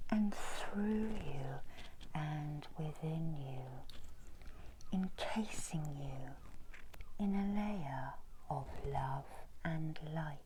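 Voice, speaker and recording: Very slow whispering woman, ASMR meditation. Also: lowish voice, warm tone, a little hiss or hum, poor audio. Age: middle-aged. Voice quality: breathy whisper. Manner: slow, soothing, with long pauses. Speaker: reiki healer leading a guided meditation. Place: small room indoors.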